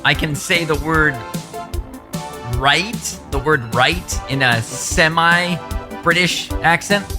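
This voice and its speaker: A man from the American Midwest hosting a casual podcast, upbeat and quick to laugh. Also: on the high side, over music. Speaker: podcast host.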